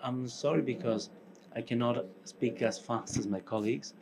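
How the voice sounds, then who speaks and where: A middle-aged man with a Portuguese accent, mixed with some Spanish, giving a conference talk as a company co-founder. He speaks in short, halting phrases with pauses. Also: mid-range voice, vocal fry. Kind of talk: conference presentation. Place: large conference hall stage, indoors. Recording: conference hall with audience noise.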